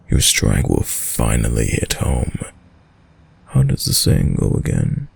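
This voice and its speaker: Casting: ancient sadistic vampire, seductive and dominant, slow, menacing delivery with huge melodic swings, for roleplay audio drama. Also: male voice, darkish tone, exaggerated voice. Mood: mocking, taunting. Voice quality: deep, gravelly. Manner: menacing, silky, mock-tender, theatrical.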